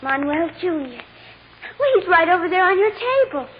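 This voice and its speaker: A woman's voice: very high voice, big pitch swings, normal speed. An actress playing an earnest, stubborn six-year-old girl, childlike and innocent, in a 1940s radio drama. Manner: childlike, innocent, plain-spoken. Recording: dull, noisy old radio recording.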